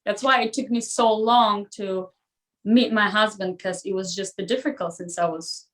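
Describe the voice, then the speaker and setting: A woman's voice: mid-range voice, steady tone, moderate pace, a bit bright. A woman who speaks English as a non-native language, chatting casually as a podcast guest and retelling stories with quoted dialogue. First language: not English, a non-native speaker. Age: 30s or older. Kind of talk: casual chat, personal anecdotes.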